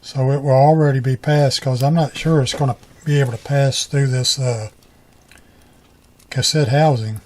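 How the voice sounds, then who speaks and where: A man's voice: mid-range voice, fairly even intonation, normal speed, a little mellow. A middle-aged man giving a casual hands-on tutorial, talking in a folksy, colloquial way and half muttering to himself as he works. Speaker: repair hobbyist.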